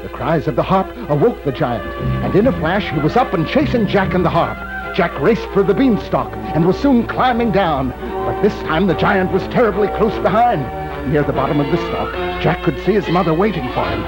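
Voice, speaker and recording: A man narrating a scripted children's storybook in an expressive, dramatic way. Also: a little high, normal speed, low-quality recording. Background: loud music.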